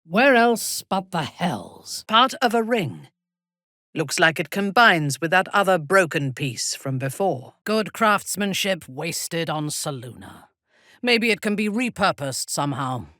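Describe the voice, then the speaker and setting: A woman playing a haughty, menacing dark elf warrior commander in a video game, with a husky voice and a cold, imperious, deliberate delivery. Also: on the low side, very animated, no room sound, studio quality, full character voice. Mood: scornful, then cool appraisal. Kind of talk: dramatic video game voice acting.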